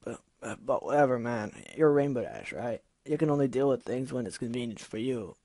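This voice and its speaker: A man voicing an angry young female griffin who shouts and accuses, loud and blunt in every line. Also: mid-range voice, lively intonation, a little fast, no echo, studio quality. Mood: bitter.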